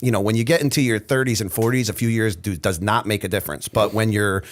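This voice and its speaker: A man in his forties with a raspy voice, hosting a podcast in casual, laughing chat. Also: fairly low pitch, quick pace. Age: 40s. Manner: laughs often, chatty and friendly. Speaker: podcast host.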